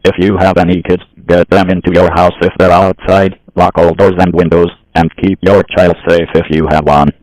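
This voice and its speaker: A man's voice: deep voice, flat delivery, moderate pace, hardly any pauses, a little mellow, no room sound, low-quality recording. A man with a synthetic voice reading a scripted emergency broadcast as an announcer.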